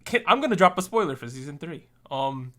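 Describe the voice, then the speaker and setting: Host, easygoing and jokey, slightly high male voice. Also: brisk. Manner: relaxed, joking, leads the discussion. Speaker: podcast host. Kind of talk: casual chat.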